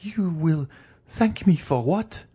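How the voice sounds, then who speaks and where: Storybook gentlewoman acted by a man, frantic and pleading, high swooping voice, drawn-out stammering delivery. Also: very animated, breathy edge, full character voice. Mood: overwhelmed, frantic. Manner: high, wailing, stammering pleas. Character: terrified, pleading wife, a guilty gentlewoman poisoner.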